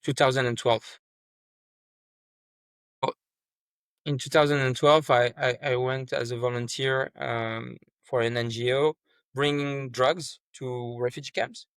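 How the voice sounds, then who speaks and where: A man's voice: mid-range voice, steady tone, unhurried, pauses often, fairly bright tone, smooth, dry recording. Brief: man, earnest guest, stumbles and restarts, dry close sound. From France. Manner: earnest, repeats words and restarts often. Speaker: startup founder, guest. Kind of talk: conversational interview.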